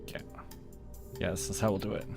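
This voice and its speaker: A man doing relaxed live game commentary, musing aloud in a halting, unhurried way. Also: over music. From Canada. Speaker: game streamer.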